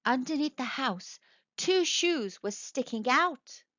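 A woman reading a children's story aloud as narrator, in animated storytelling style. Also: high-pitched, slow pace, dry recording, muffled recording.

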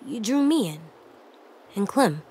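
A woman's voice: fairly high pitch, sing-song, measured pace, a little mellow, a little hiss or hum. Solemn little boy in a video game, plain blunt talk, faint ambience behind. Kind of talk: dramatic video game voice acting.

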